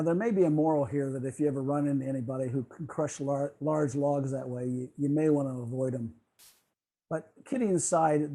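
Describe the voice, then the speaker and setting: A man presenting a semi-scripted talk on a video call, explaining in a measured way with occasional stumbles as he reads. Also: a little high, warm tone. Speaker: club presenter on old-time radio.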